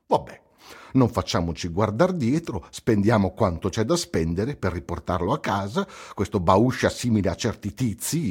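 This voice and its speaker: An actor playing a sarcastic, scornful newspaper columnist in a theatrical radio recital. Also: male voice, fairly low pitch, breathy edge. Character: sneering, sarcastic male newspaper columnist mocking a captive.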